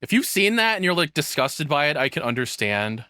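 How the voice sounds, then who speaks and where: A man's voice: on the high side, very animated, fairly quick, bright, forceful tone, clean tone, dry recording. A man giving casual, blunt reaction commentary as a streamer, swearing freely, on a close mic.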